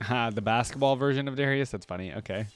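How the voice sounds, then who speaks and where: A man's voice: medium pitch, fast. A man hosting a reaction video, commenting casually in an amused, slangy, informal way.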